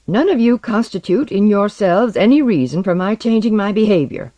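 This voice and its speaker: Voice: mid-range voice, animated, moderate pace, dry recording, voice pushed up, lightly acted. A narrator voicing an arrogant, exasperated man who spits out contempt in a story reading. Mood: scornful. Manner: contemptuous, shouting outbursts. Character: high-voiced, venomous, exasperated man, an arrogant outcast space surveyor.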